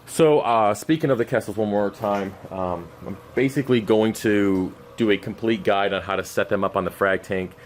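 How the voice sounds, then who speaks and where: A very low-voiced man, hoarse and running out of voice, rambles on with fillers and stops as he tends his messy fish room. A faint hum runs underneath, picked up by a camera mic that follows him around. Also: normal speed, hardly any pauses. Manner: loose, rambling, many fillers and restarts. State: losing his voice, scratchy throat; exhausted. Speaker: aquarium channel host. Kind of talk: rambling unscripted update. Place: cluttered home fish room. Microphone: camera mic while walking around.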